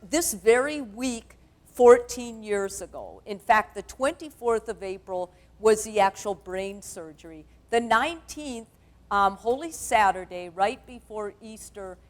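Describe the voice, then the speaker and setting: Middle-aged woman guest speaker, booming and candid, slightly high voice. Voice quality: loud, booming voice. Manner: chatty, candid, self-deprecating. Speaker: guest speaker, sex therapist. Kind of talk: conference talk to a church audience. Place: church stage.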